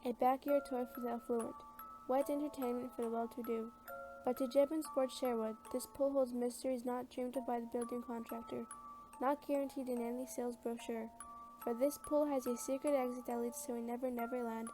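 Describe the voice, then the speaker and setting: A woman playing a cool, eerie anthology narrator, reading scripted narration in a measured, portentous way. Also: a little high, level tone, lightly acted. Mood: mysterious. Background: chiming glockenspiel music.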